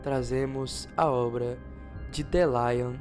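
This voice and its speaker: A man's voice: medium pitch, steady tone, slow pace, a little mellow. A man with a Brazilian accent doing informal video narration as a channel co-host. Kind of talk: informal video narration about analog horror. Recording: music behind the voice. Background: background music.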